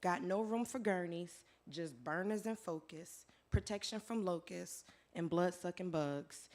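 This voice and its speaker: A hoarse woman performing her spoken word poetry live, in a rhythmic rhyming delivery that swings between soft lines and loud declarations. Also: on the low side, measured pace. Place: live venue with audience.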